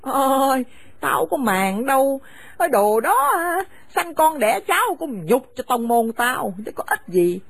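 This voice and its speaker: An actress playing a furious, domineering mother-in-law who shouts insults at her son's wife. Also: high-pitched, sing-song, very slow, vocal fry, hardly any pauses, slightly rough, no echo, exaggerated voice. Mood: scolding. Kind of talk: radio drama acting.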